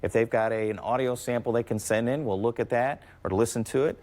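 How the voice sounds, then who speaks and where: A man's voice: medium pitch, steady tone, a little fast, a little mellow. A man with an American accent, answering interview questions conversationally as a university athletics representative.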